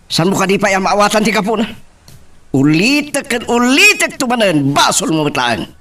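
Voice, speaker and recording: A man playing a stern Ilocano father in an audio drama. He scolds emphatically in a high male voice that leaps up and down with exasperation. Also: very animated, moderate pace, bright, forceful tone, exaggerated voice. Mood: angry scolding. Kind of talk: Ilocano radio-style drama acting.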